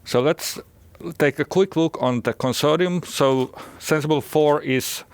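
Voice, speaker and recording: A man with a Finnish accent gives a conference presentation as a company executive, speaking haltingly and often repeating words. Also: a little high, clear, resonant tone, no echo. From Finland.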